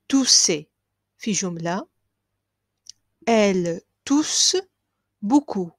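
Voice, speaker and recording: A woman teaching a language lesson. She repeats each phrase slowly and clearly, then explains it quickly. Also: medium pitch, no echo, clean studio sound. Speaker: French teacher.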